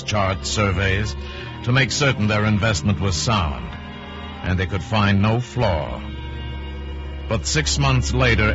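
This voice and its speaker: Male narrator, dramatic scripted delivery, dull old radio recording, prominent music. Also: lowish voice.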